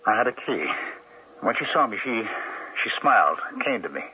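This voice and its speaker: A haunted man who is convinced he killed the woman he loved. His fairly low voice rises and falls widely as he broods and confesses. The sound is tinny, like an old radio broadcast. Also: sing-song, fairly quick, slight background noise. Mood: troubled. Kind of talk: 1970s radio drama acting.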